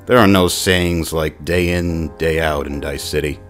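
A man playing a huge modified war veteran cop in a noir audio drama, gruff, weary and grumbling. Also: rumbling low, steady tone, unhurried, soft background music. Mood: brooding, hard-boiled.

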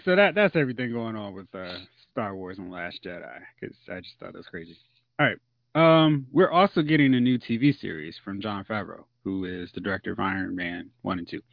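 A man hosting a casual podcast chat, loose and animated, steering the group conversation. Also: fairly low pitch, a little fast, gravelly, no echo. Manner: loose, animated, leading the talk. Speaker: podcast host. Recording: dull lo-fi sound.

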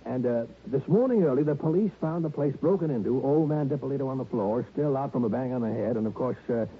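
A man's voice: medium pitch, fast, phone-quality sound, lightly acted. A man acting a chatty, folksy real estate man in a 1960s radio drama, rambling and hesitant. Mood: gossipy, hesitant. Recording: thin, noisy old radio broadcast.

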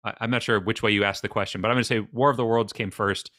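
A man's voice: fairly low pitch, steady tone, fast talker, bright, forceful tone, no echo, studio quality. A man in a casual podcast chat, speaking as a hesitant quiz contestant who doubts and repeats himself.

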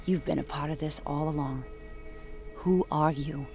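A determined woman investigator in an audio drama. She is terse, direct and insistent, heard over clear background music. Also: deep voice, steady tone, normal speed, mellow. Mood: accusing, resolute.

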